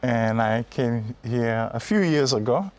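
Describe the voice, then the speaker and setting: A man's voice: medium pitch, expressive, slow, a little mellow. A man from Haiti, a college instructor, answering as an interview guest. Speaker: business administration instructor and guest. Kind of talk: interview answers about own background.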